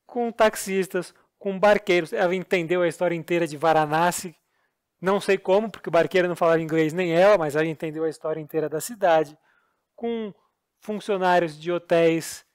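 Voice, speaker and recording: A man's voice: high voice, a little fast, clean tone. A Brazilian man with a Brazilian Portuguese accent, co-hosting a podcast and telling travel stories in an earnest, explanatory, self-deprecating way. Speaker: podcast co-host. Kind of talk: casual storytelling chat.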